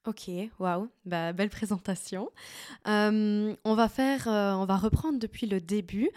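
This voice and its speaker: A woman's voice: mid-range voice, unhurried, a little mellow. A woman hosting a podcast, asking questions in a chatty way with fillers. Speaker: podcast host. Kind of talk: casual interview chat.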